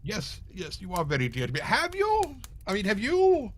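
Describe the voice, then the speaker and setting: Bright, flustered sidekick, swooping pitch. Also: male voice, huge pitch swings, normal speed, a little hiss or hum, exaggerated voice. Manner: blustering, hesitant, muttering asides. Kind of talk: live comic cold-read of 1940s radio drama.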